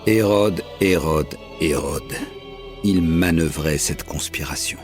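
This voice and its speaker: An actor playing a proud, methodical Roman prefect narrating his investigation in measured, literary French. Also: very deep voice, soft background music. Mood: measured recounting. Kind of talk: scripted first-person drama narration.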